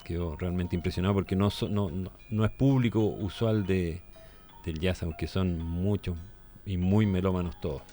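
A man hosts a casual radio talk show. His voice is very low and mellow, with music playing softly in the background. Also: fairly even intonation, normal speed.

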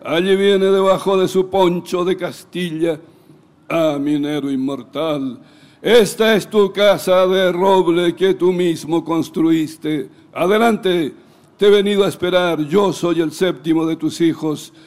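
A man giving a dramatic, expressive recitation of a poem in a declamatory manner. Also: high voice, measured pace.

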